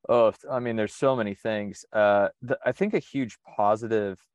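A man originally from the United States talks in a conversational interview, his voice sitting on the low side. He is reflective and methodical, often circling back and restarting his sentences. The sound is dry and close, with little room around it. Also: moderate pace, darkish tone, no room sound, highs cut off. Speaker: sailor and interview guest.

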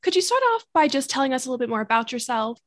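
Brisk woman podcast host. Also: high-pitched, very animated, a bit bright. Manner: brisk, polished delivery. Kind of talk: scripted intro and interview questions.

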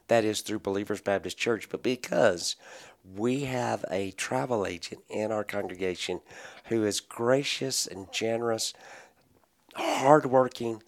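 A pastor with a raspy voice, tired from a long meeting, talking earnestly with many pauses and fillers. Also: male voice, on the low side. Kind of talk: podcast conversation.

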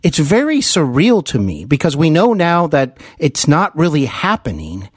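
A man's voice: fairly high pitch, huge pitch swings, normal speed, breathy edge, no room sound, studio quality. A man hosting a podcast interview, speaking as an earnest, effusive fan of his guest. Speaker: podcast host. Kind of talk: celebrity interview.

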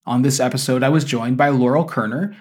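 A man hosting a podcast with measured, articulate phrasing, in the style of an educator presenting and interviewing. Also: mid-range voice. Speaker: podcast host; university teacher. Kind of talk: scripted podcast introduction, then conversational interviewing.

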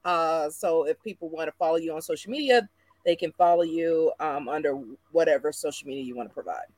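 A woman's voice: deep voice, brisk, a little mellow, no room sound. A woman co-hosting a casual podcast over a video call, wry and guiding the talk. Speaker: podcast co-host. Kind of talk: casual group chat.

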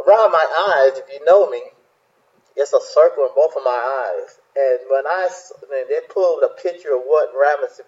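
A man's voice: medium pitch, a little mellow. Guy in his 50s, rambles and repeats himself lots of uhs, kinda muffled lo-fi audio. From South Carolina. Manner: rambling, repeats words, many fillers. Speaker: minister and host. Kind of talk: casual talk to listeners.

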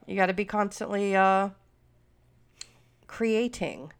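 Stuffy-nosed woman with a gravelly voice. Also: medium pitch, takes it slowly. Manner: rambling, many fillers and restarts. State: stuffy and nasal from a cold. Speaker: podcast host. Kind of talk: casual podcast chat.

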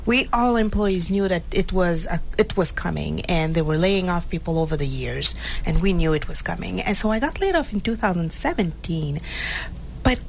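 A woman's voice: on the low side, animated, moderate pace, vocal fry, poor audio. Woman guest telling her story, faint room hum. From born in Haiti, raised in Montreal. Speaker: podcast guest. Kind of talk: casual interview conversation.

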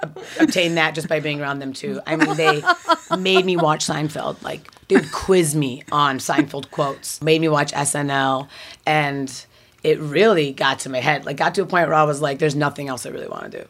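A woman comedian with a naturally deep voice, speaking reflectively in a casual interview and laughing easily. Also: fairly even intonation, normal speed, slight background noise.

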